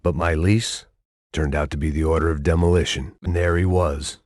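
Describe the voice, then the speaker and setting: A worn-out middle-aged ex-cop narrates a video game in a deadpan, bitter drawl. His voice sits very deep, and the studio sound is close and dry with no room around it. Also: normal speed, no echo. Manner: deadpan, bitter, self-mocking.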